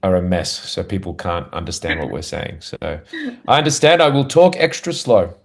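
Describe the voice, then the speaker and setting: A man's voice: low voice, very animated, moderate pace. Easygoing Australian man, laughing a lot. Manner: easygoing, laughs often, self-deprecating. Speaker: guest, comedian. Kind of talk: casual conversational interview.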